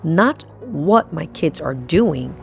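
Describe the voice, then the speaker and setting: A low-voiced woman with noticeable vocal fry narrates a vlog as a mother and host. She speaks in short, emphatic phrases and throws in rhetorical questions, with music behind her. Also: very animated, slow pace. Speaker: homeschooling mother and video host. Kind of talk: scripted-sounding narration for a vlog.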